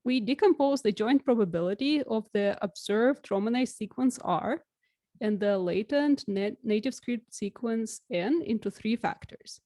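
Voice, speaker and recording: A young woman, a native Russian speaker, presents her research in a steady, explanatory lecture. Her voice is mellow and warm. The sound is close and dry, from a desk mic in a small room. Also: a little high, no room sound. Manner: clear and measured, occasional fillers. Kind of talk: recorded academic talk over slides.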